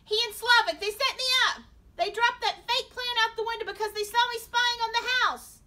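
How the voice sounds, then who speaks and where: A woman's voice: very high-pitched, steady tone, moderate pace, sharp tone, pitched above the performer's own voice. A woman voicing a frantic, guilty boy in a storybook reading, pleading and whining excuses.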